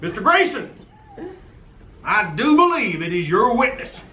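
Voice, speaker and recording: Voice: mid-range voice, big pitch swings, a little slow, sharp tone, reverberant, a little hiss or hum, rough recording. A performer playing a stern judge keeping order in the courtroom. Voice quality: commanding, gavel-banging.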